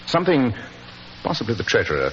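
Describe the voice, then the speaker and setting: Radio play sleuth: British, commanding, precise, mid-pitched, in a hissy, reverberant vintage recording. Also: male voice, huge pitch swings, fast talker, dull audio. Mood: intent, reasoning. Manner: precise, confident, deductive. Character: incisive, commanding male gentleman detective. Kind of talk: 1940s radio acting.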